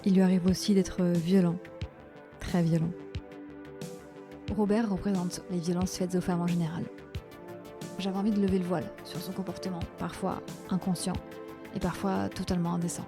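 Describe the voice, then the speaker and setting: A woman's voice: fairly low pitch, level tone, normal speed, pauses often, music in the background. A woman hosting a podcast, moving between a scripted introduction and casual interview talk.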